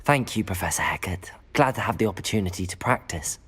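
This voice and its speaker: An actor playing a polite, earnest teenage wizard student in a game, a husky voice and a courteous, measured manner. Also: male voice, low-pitched, lively intonation, fairly bright tone, exaggerated voice.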